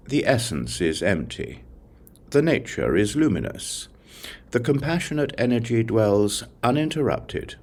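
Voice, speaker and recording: A man reading a Buddhist scripture as an audiobook, reciting in measured, solemn short phrases. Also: lowish voice, a little mellow, husky. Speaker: audiobook reader.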